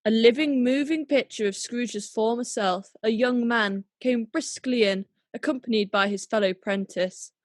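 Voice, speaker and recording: A woman's voice: a little high, normal speed, few pauses, dry recording, clean studio sound, natural voice. A woman narrating a classic tale as a scripted radio play, in storybook style. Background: music.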